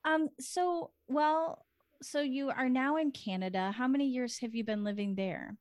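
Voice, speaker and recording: A woman's voice: high-pitched, measured pace. A woman hosting a podcast, reading a prepared guest introduction and then chatting casually over a video call. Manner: warm, reads a scripted intro then chats. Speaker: podcast host. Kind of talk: podcast interview.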